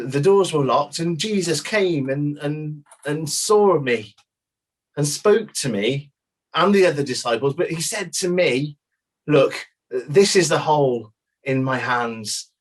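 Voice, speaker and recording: A man playing an earnest, convinced disciple giving halting testimony in a dramatized inquest. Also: fairly high pitch. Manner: halting, earnest, repeating words.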